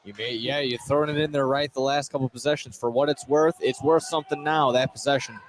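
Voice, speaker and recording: Man, basketball color analyst, medium pitch, conversational and opinionated; live gym broadcast with light background noise. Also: fairly even intonation, fast. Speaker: color commentator.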